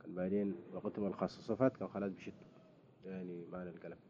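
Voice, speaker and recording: A man interviewing in a casual conversation, talking quickly with frequent restarts. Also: low voice, little pitch movement. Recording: dull lo-fi recording with faint noise.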